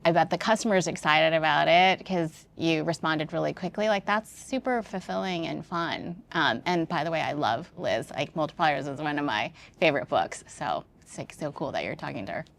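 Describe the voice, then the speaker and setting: A woman with a notably low voice and plenty of vocal fry, talking as a guest in a podcast interview. She speaks in a thoughtful, informal, conversational way, working through her ideas as she goes. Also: normal speed, few pauses. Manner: thoughtful, informal, peppered with "like" and "you know". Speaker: interview guest, business executive.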